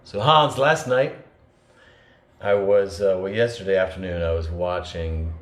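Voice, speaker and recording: A man's voice: low-pitched, unhurried. A man co-hosting a podcast, talking in an earnest, reflective way with many fillers and restarts. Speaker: podcast co-host. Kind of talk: reflective conversational talk. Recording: echoey room with faint noise.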